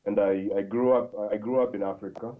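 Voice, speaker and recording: A man from Congo talks as a podcast guest, his low voice coming through a tinny, phone-like line. He speaks haltingly, with plenty of fillers and restarts as he tells his story. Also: fairly even intonation. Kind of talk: conversational interview.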